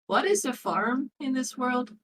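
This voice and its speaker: A woman's voice: high-pitched, lively intonation, a little slow. A woman talking casually in a group as a tabletop roleplay player, with faint noise in the recording. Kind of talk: casual group worldbuilding chat.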